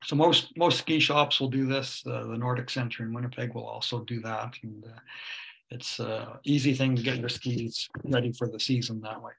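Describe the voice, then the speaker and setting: A man's voice: medium pitch, little pitch movement, normal speed, vocal fry, a bit bright, rough recording. An older man with a Canadian accent, a ski instructor presenting a webinar from home over a video call, explaining steadily with many fillers. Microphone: webcam or computer mic on a video call.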